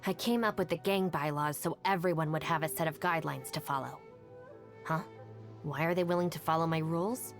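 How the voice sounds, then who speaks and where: An actress voicing a capable, dry young woman, a gang's deputy in a video game, with a composed, matter-of-fact and self-assured manner. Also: sits low. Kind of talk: scripted video game character voice lines. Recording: music under the voice. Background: music.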